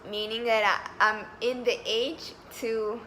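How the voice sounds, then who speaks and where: Young woman from Colombia, early twenties, eager job candidate, slightly high voice, noisy recording. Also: little pitch movement, unhurried, a bit bright. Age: 20s. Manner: eager, talkative, upbeat, with frequent fillers. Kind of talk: mock job interview.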